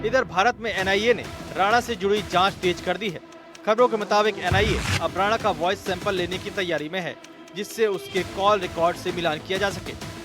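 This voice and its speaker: High-pitched male news narrator over music. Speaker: news reporter narrating a report.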